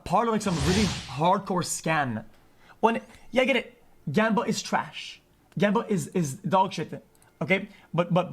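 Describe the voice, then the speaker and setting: A man with a high voice rants casually to his stream. He is argumentative, hammers the same point again and again and swears. Music plays clearly behind him. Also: fairly bright tone. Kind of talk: heated casual rant.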